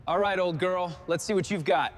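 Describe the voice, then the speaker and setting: A man's voice: sits high, moderate pace, music in the background. A man giving brief, scripted remarks during work. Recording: loud machinery noise behind voice.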